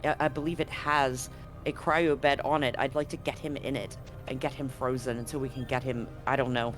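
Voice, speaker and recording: A man's voice: mid-range voice, lightly acted. A man playing an anxious, grave doctor in a tabletop game, explaining things clinically and hesitantly. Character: anxious, grave male doctor at a remote medical facility.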